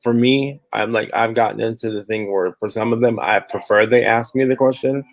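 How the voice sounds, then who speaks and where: A man's voice: mid-range voice, fairly even intonation, moderate pace, dry recording. A man from Phoenix speaking as a panelist in a discussion over a video call, conversational, with many fillers and restarts. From Phoenix, Arizona. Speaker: panelist, diversity and inclusion professional.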